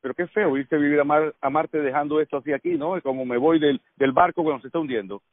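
A man's voice: fairly high pitch, fast talker. Cuban-accented man on a tinny line. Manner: lively storyteller, laughs often. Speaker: actor. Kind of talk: casual radio conversation. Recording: dry phone-line sound.

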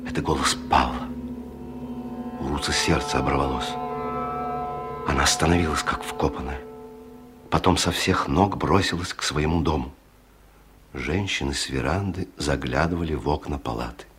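A man narrating a village tale in a 1970s radio play as a grave, measured storyteller. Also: very deep voice. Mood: grave, dramatic. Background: music.

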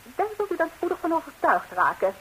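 An actress playing a composed, sharp-tongued betrayed wife in a 1960s radio comedy, answering with cool, pointed deliberation on a hissy recording. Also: female voice, very high voice, very animated, fast talker, exaggerated voice, rough recording. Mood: dry, vengeful.

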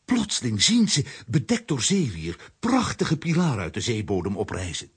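A man narrating a children's adventure audio drama in a theatrical storytelling manner, with a husky, rough voice. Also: mid-range voice, huge pitch swings, moderate pace, full character voice.

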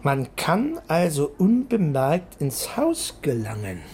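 An actor playing a probing, teasing senior male police detective with a raspy, creaky voice in a naturalistic radio drama. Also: a little high, wildly swooping pitch, darkish tone, slight background noise, full character voice. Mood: musing, probing. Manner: probing, instructing, deliberate.

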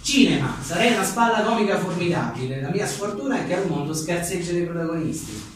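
A man's voice: high voice, lively intonation, fast talker. A man reads comic one-liners aloud at a live book presentation, in a wry, joking way with offhand asides. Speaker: journalist and author presenting his book. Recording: echoey room, distant mic, faint noise.

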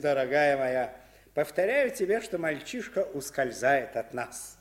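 A man's voice: mid-range voice, very animated, a little slow. A man playing a stern, snobbish, pompous father in a radio play. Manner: pompous, formal. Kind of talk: 1950s Soviet radio play acting.

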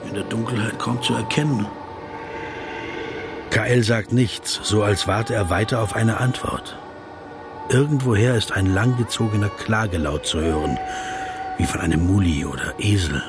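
An actor playing a polite, self-conscious writer in a German radio play, probing hesitantly and adding dry, ironic asides. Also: male voice, on the low side, normal speed, loud music behind the voice, close to an everyday voice. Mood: wry, musing. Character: wry, polite, self-conscious male writer interviewing a celebrity. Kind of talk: German radio play dialogue and inner narration.

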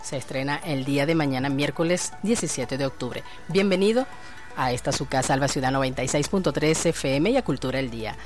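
A woman's voice: extremely low pitch, brisk, a little mellow, faint music behind. A woman with a Venezuelan Spanish accent presenting radio news and welcoming guests in a formal announcing manner. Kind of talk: read radio news and interview hosting.

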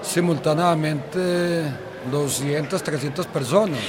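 A man's voice: fairly high pitch, fairly even intonation, unhurried. A man giving an informal, spoken recollection as an interviewee. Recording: loud music and crowd behind. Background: loud music, crowd.

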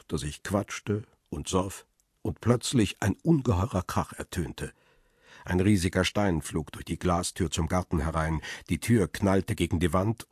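An actor playing a world-weary photographer who narrates his ordeal in a radio crime play, sombre and deliberate. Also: deep voice, slightly rough, no room sound, studio quality. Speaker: first-person narrator. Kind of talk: dramatic scripted narration.

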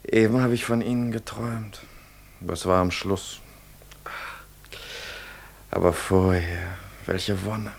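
Radio play: a naive, easily enraptured student, gravelly and fairly low, theatrical. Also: male voice, steady tone, takes it slowly, pauses often, heavily acted. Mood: dazed, rapturous. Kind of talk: classic German radio play acting.

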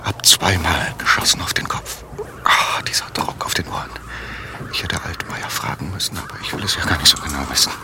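A man's voice: low-pitched, close to an everyday voice. An actor playing an uneasy man in a radio drama, whispering his fragmented inner thoughts while forcing himself to stay composed. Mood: anxious, brooding. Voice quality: breathy whisper. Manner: hushed, fragmented, halting phrases.

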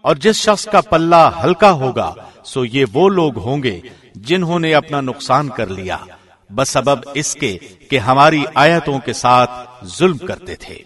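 A man reading a scripture translation from a script in a measured, formal, carefully phrased way, like a professional radio presenter. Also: medium pitch, lively intonation, a bit bright.

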